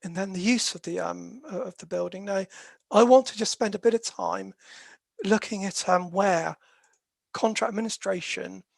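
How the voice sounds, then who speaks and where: A croaky, gravelly presenter lecturing in a steady, explanatory way, pausing often on "um" between phrases. The voice sits at a middling pitch and sounds close and dry, with no room around it. It comes across as a dispute resolution specialist walking through a webinar. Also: dry recording. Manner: hesitant, with very frequent "um" fillers.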